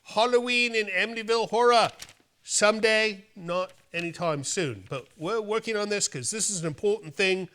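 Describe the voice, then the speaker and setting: A man with a high, forceful voice works as a show host. He reads viewer mail and banters casually, with a playful, theatrical flair. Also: moderate pace. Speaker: host of a horror movie show.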